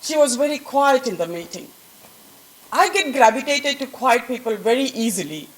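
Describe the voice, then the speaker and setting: A woman's voice: sits high, sing-song, moderate pace, rough recording. A woman with an Indian accent giving a chatty, laughing tribute speech to a colleague at a staff event. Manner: chatty, laughing at own jokes. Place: event room with an audience.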